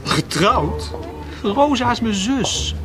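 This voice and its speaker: An actor playing a vain, flirtatious bar-band singer in a radio play, showy and drawling, with a taste for foreign phrases. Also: male voice, sits high, expressive, slow pace. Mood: suave, playful. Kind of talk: Dutch radio drama acting. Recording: radio play with music behind.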